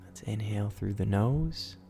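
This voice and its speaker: A man leading a guided bedtime meditation in a slow, soothing, instructional way, as the host. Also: lowish voice, lively intonation, vocal fry, warm tone. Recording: music behind the voice. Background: relaxation music with singing bowls.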